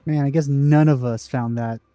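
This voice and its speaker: A man's voice: mid-range voice, steady tone, moderate pace, mellow, lo-fi sound. A man giving casual, offhand commentary in a dry manner as he plays through a video game. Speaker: gaming video host.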